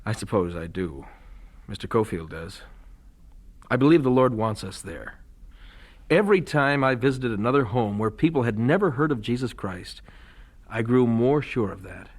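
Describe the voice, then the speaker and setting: An actor playing a principled young missionary husband in an audio drama, earnest with a dry, teasing humor. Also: male voice, mid-range voice, expressive, moderate pace, natural voice.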